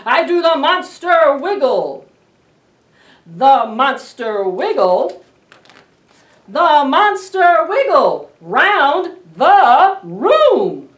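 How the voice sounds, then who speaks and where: Woman playing a loud boastful big monster, drawn-out chant. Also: high voice, big pitch swings, voice pushed up, full character voice. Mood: gleeful, boastful. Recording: roomy, distant camera microphone.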